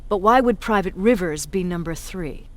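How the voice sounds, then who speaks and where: An actress playing a blunt, authoritative resistance commander in a video game, tough and sardonic. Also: female voice, fairly low pitch, lively intonation, moderate pace, lightly acted. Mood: serious, briefing.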